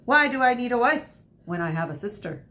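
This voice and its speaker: A woman reading the part of a genial, measured fisherman uncle in a storybook. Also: sing-song, fairly bright tone. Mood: teasing, booming. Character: genial Danish fisherman uncle, teasing yet grave. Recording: noisy with low rumble.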